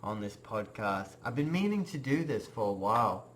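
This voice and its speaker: A young man raised in Australia hosting a casual podcast interview, chatty, with lots of fillers and quick laughs. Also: lowish voice, very animated, fairly bright tone, a little hiss or hum. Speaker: podcast host.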